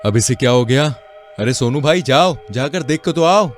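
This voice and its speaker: A man voicing a nervous, placating young driver in an animated horror-comedy story. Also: mid-range voice, normal speed, faint music behind, pitched above the performer's own voice, close to an everyday voice. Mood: worried.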